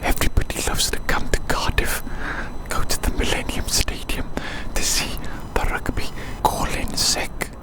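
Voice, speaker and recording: An ASMR creator whispering soothingly outdoors on a city walk, slowly repeating short phrases. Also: rough recording. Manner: soothing, slow repetition of phrases.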